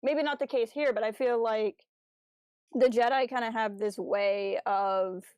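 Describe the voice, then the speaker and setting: A woman's voice: a little high, steady tone, a little slow, darkish tone, clean tone, dry recording. A woman co-hosting a podcast in casual discussion, thinking aloud in hesitant, broken phrases. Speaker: podcast co-host. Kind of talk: casual discussion of a fan audio drama review.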